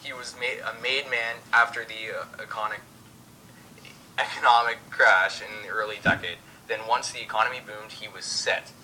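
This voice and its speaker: A man's voice: fairly low pitch, steady tone, moderate pace, sharp tone, a little hiss or hum, poor audio. A young man reading as co-host of an amateur mock 1920s radio show. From Canada.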